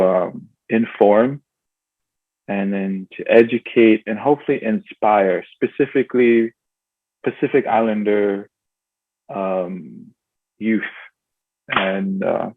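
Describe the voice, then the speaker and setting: A man's voice: lowish voice, no room sound. A man hosting a casual interview podcast, talking with many fillers and restarts. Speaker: podcast host. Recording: tinny phone-like sound, dry.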